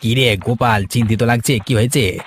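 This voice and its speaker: A man's voice: mid-range voice, steady tone, brisk, a little hiss or hum, close to an everyday voice. A man voicing a scheming villager who poses as a helpful friend in a cartoon folk tale, with a sly, overfamiliar, wheedling manner. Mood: slyly persuasive. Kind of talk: cartoon fairy-tale dialogue.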